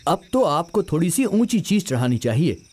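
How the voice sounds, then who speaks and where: Man acting a lively naive village nephew. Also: fairly high pitch, normal speed. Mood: cheeky. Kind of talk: comedy skit dialogue.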